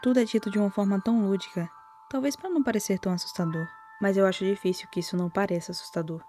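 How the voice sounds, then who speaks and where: Brazilian female narrator, dark mellow tone, soft-spoken and wry, scripted horror commentary over faint music. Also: medium pitch, steady tone, very fast. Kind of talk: whispered-style scripted narration, storytelling commentary.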